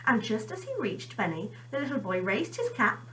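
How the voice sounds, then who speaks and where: A woman's voice: on the high side, very animated, moderate pace, pushed and bright, far from the mic, a little hiss or hum, rough recording. A woman teacher chatting informally to children and reading them a story expressively.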